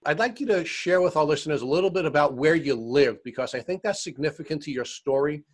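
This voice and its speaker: A man's voice: fairly high pitch, lively intonation, fast talker. A man hosting an interview program, reading a scripted introduction and putting questions to a guest. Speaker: interview host.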